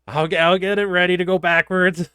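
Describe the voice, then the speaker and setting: A man's voice: high voice, bright, forceful tone, smooth, dry recording, clean studio sound. A man co-hosting a casual, chatty discussion show, given to giggly laughter. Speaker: podcast co-host. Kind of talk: casual discussion of a horror anime episode.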